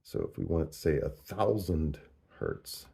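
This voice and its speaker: A man narrating a casual hands-on tutorial, thinking aloud in a deliberate, halting way as he works through figures. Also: very deep voice, animated, creaky, warm tone, breathy edge. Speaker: host of a DIY audio tutorial.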